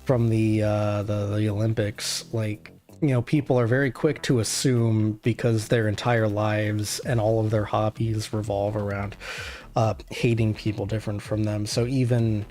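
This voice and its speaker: A man's voice: fairly low pitch, little pitch movement, moderate pace, darkish tone, soft background music. A man hosting a casual stream chat, thoughtful and rambling with many restarts. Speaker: streamer host.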